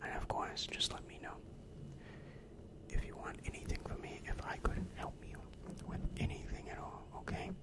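A young man whispering an ASMR roleplay as a helpful, polite demon counselor, soft, hesitant and reassuring, close on the microphone. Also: normal speed. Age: young adult. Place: small dim room indoors. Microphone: large microphone right in front of the mouth.

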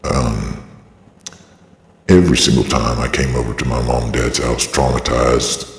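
A man's voice, shifted extremely low by a voice changer, with a gravelly creak. He rambles through a personal statement in a halting, aggrieved way, repeating himself. The sound is cavernous, with long reverb. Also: fairly even intonation, a little hiss or hum. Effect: pitch-lowering voice changer. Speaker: vlogger giving a personal statement.

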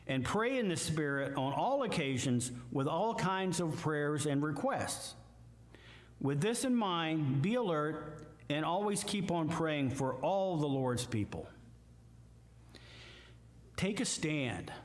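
An older man with a Midwestern American accent, a guest preacher giving a slow, emphatic sermon with scripture readings from a church lectern. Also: on the high side, animated. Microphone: lectern mic, far camera. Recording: echoey church hall from far off.

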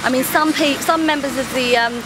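Middle-aged woman, high voice, earnest and emphatic, heavy outdoor noise. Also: a little fast. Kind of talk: impassioned casual speech. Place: outdoors among a gathering. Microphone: handheld camera mic. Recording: loud outdoor background noise.

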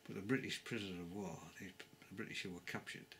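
An older man on a sofa in his living room gives an unscripted history talk to a close webcam. He speaks calmly and pauses to find his words. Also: low voice. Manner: calm, measured, pauses to search for words. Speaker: travel vlogger. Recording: clear background noise.

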